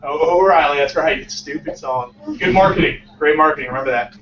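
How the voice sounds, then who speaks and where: A man in his late twenties tells his startup story to a packed room and acts out each exchange with a lot of bounce. His voice sits high for a man and is caught from a distance, roomy and a little dull, with faint room hum behind him. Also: quick pace. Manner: animated, acts out dialogue, laughs often. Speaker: startup founder giving a talk. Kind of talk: casual storytelling talk to a small audience. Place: small crowded meeting room, indoors. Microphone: far camera, no visible mic.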